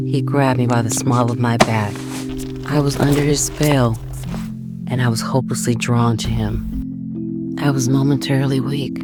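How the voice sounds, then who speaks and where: An actress voices a middle-aged mother telling her own dramatic story. The woman's voice is exceptionally low and reflective, torn over a forbidden love. Loud music runs beneath the narration. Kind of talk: first-person dramatic narration.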